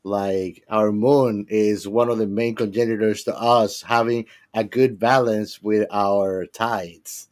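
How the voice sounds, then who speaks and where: A man speaking earnestly and emphatically in a casual podcast discussion, musing philosophically with slightly halting, non-native phrasing. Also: on the low side, steady tone. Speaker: podcast panelist.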